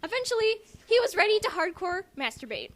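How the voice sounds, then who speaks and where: High-pitched young woman reading aloud with asides. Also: sing-song, brisk, a bit bright. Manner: giggly, reluctant, interjecting asides. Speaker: amateur reader. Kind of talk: dramatic reading with casual commentary. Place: room in a house. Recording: noisy home recording.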